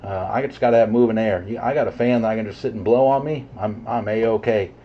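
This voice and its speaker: Low-voiced man on muffled CB radio. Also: fast, slight background noise. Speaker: CB radio operator. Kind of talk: casual radio chat. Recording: dull, muffled CB radio signal.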